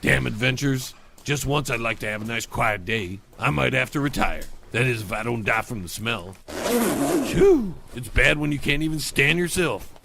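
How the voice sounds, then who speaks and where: A man playing a grumpy, exasperated starship doctor with a gravelly voice in a parody sci-fi audio drama, sardonic and complaining. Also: mid-range voice, animated, moderate pace, background noise. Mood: weary, sour.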